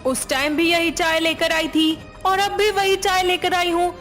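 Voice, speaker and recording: A woman's voice: very high-pitched, little pitch movement, unhurried, a bit bright, faint music behind, pitched above the performer's own voice. A woman voicing a defensive young wife in a dramatised story, aggrieved and protesting. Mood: indignant. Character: put-upon, defensive young Indian wife. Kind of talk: dramatised Hindi moral story acting.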